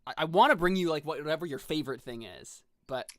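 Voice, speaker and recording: A man's voice: a little high, expressive, quick pace, a bit bright, no echo, clean studio sound. A man playing a tabletop game, talking in a hesitant, giggly way. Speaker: player. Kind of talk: casual tabletop play.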